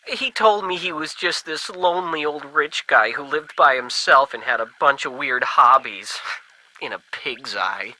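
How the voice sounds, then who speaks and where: An actor playing a folksy, skeptical working-class ham radio buddy in a video game, talking in casual old-fashioned slang. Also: male voice, medium pitch, unhurried, pushed and bright, rough recording. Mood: dismissive, folksy.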